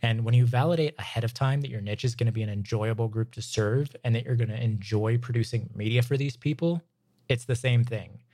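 A man presenting a scripted lesson in an informal, emphatic way, his voice slightly hoarse from long reading. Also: medium pitch, brisk, dark tone, no room sound. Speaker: podcast host and filmmaking instructor. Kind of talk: scripted lesson read aloud, conversational.

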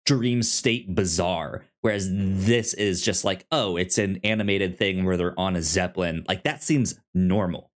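Man, fairly low voice, very slow pace, casual podcast co-host, hesitant, repeats words. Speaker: podcast co-host discussing a TV series. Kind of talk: casual chat.

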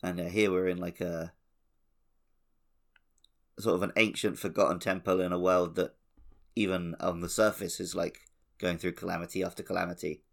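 A man's voice: deep voice, flat delivery, normal speed, vocal fry, fairly bright tone. A man co-hosting a podcast, casually discussing a video game. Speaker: podcast co-host.

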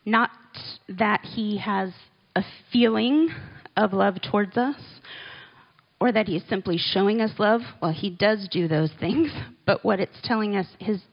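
Slow, unhurried woman teaching scripture. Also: mid-range voice, muffled recording. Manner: unhurried and conversational, with little laughs and asides. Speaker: Bible teacher. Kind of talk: relaxed church teaching talk.

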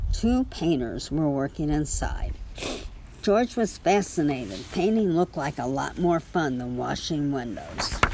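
A woman reading a children's picture book aloud in an expressive storytelling manner. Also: low voice, slight background noise, poor audio. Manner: expressive storytelling with small spoken asides. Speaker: story reader.

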